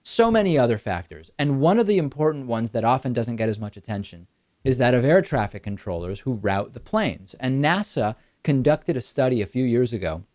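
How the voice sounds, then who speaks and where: A man hosting a political talk show, explaining a news story in a brisk way with rhetorical questions. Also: medium pitch, lively intonation, darkish tone, no echo, studio quality. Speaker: host.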